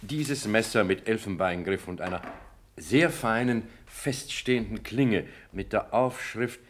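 An actor playing a diligent police inspector in a German radio play, slightly husky, reporting facts with small hesitations and repeated affirmations. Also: male voice, on the low side, a little slow, slight background noise, natural voice. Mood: dutiful, businesslike. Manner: hesitant fillers, repeats his affirmations, matter-of-fact.

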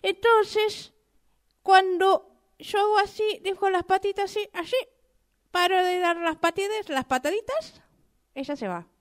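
A woman's voice: very high-pitched, unhurried. A woman with an Argentine accent voicing a chatty, sentimental mother cockroach in improvised comic radio theatre, repeating herself coyly. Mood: chatty, fond. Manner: repetitive, coy, hesitant, conversational asides.

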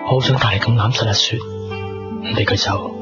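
A man's voice: medium pitch, steady tone, moderate pace, close to an everyday voice. A man acting an earnest, lovestruck young man in a Cantonese radio drama, with a Hong Kong accent and a sincere, intense delivery. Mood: stirred, yearning. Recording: dull lo-fi 1990s radio, loud guitar music.

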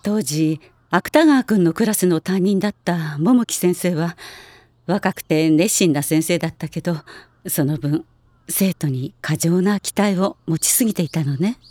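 A calm, middle-aged woman schoolteacher speaks in a low, husky voice. She is measured and reflective. The studio sound is dry and close. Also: dry recording. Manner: measured and composed. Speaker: elementary school teacher. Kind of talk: anime drama CD acting.

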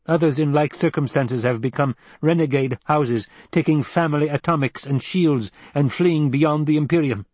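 A male narrator puts on a clever, scheming adviser in an audiobook, pitched well above his own voice. The delivery is formal, exact and supercilious, in a clean, dry recording. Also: normal speed, few pauses, a little mellow, dry recording, close to an everyday voice. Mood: coolly analytical. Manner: precise, formal, higher-pitched exposition. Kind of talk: audiobook character acting.

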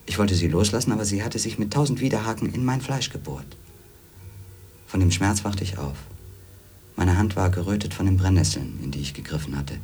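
An actor playing a brooding former editor who recounts his wanderings in measured, introspective literary narration for a radio play. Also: sits low, a little mellow.

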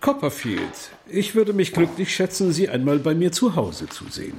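Radio drama: genteel, hospitable middle-aged man, animated intonation, slightly high voice, courtly manner. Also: moderate pace, a little hiss or hum. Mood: genial, inviting. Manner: formal, courteous. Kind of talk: German literary radio drama acting.

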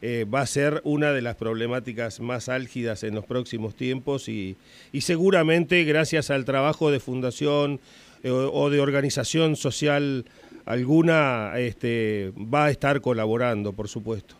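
A man's voice: mid-range voice, moderate pace. A man hosting an interview, asking long, rambling questions with frequent fillers. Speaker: radio host, interviewer. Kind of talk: radio interview.

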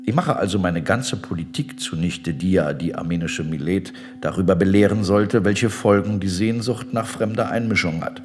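An actor in a radio drama playing an unyielding general, speaking with measured, condescending politeness. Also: male voice, deep voice, soft background music, close to an everyday voice. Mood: firm, condescending. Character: coldly courteous, unyielding Ottoman general in power. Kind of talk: German radio drama acting.

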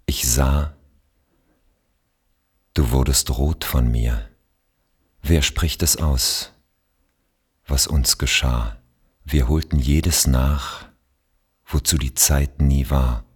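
Poetry reader: man from Austria, rock-bottom bass pitch, very husky and breathy, measured delivery with generous pauses. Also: steady tone. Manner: measured, with long pauses between phrases. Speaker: speaker reading poetry. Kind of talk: slow literary poetry recitation.

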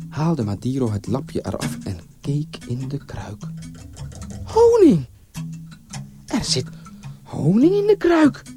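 A man narrating a scripted folktale as a storyteller, with expressive, theatrical delivery. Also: on the low side.

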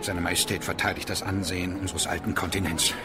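A man's voice: low voice, flat delivery, fast talker, natural voice. An actor playing a pompous, boastful Prussian agent who worships his Kaiser, declaiming self-importantly in a radio drama. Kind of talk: German radio drama acting. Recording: music behind the voice.

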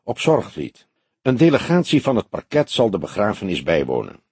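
A male narrator plays a young detective who is reserved yet easily irritated. The lines are brief, in a slightly husky voice with lively pitch, recorded dry for an audiobook. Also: normal speed, no echo, full character voice. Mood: timid. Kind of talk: audiobook character dialogue.

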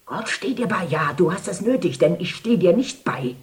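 An actress playing an imperious older brothel madam in a radio drama, haughty, mocking and declamatory. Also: female voice, on the low side, animated, normal speed, a little hiss or hum, close to an everyday voice. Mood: mocking, indignant. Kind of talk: theatrical German radio drama acting.

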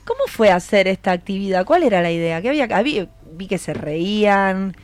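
A woman's voice: medium pitch, big pitch swings, brisk, dry recording. A woman with an Argentine accent hosting a casual radio interview, encouraging people and prompting them with questions. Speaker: radio host interviewing students. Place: radio studio. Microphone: studio microphone.

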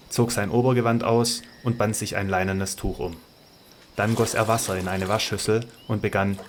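A man narrating a scripted radio drama in a measured, even way. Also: lowish voice, slight background noise.

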